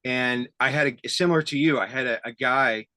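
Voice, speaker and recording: A man with a bright, forward voice and a mid-range pitch hosts a relaxed interview. He talks in a halting, self-deprecating and enthusiastic way. The sound is dry and close, with hardly any room. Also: no room sound. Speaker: interview host. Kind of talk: casual conversational interview about tape gear.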